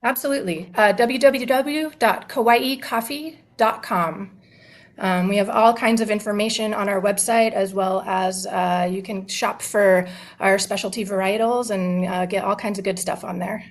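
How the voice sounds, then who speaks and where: An upbeat woman speaking as a guest on a farm radio show. She answers questions about her company's coffee with plenty of fillers and a middle-pitched voice. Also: steady tone, moderate pace. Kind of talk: interview answers.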